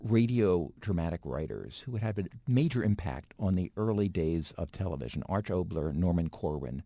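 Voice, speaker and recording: A man's voice: deep voice, mellow, no echo. A man giving enthusiastic, articulate interview commentary, speaking unscripted. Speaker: interviewed commentator on radio history.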